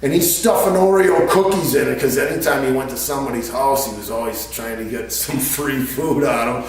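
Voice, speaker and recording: A man's voice: mid-range voice, brisk. A middle-aged man casually telling a story in a colloquial, crude, joking way, recorded in a garage on a camera several feet away. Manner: colloquial, crude humor, chuckles as he tells it. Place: garage workshop, indoors. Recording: echoey, distant camera mic with faint noise.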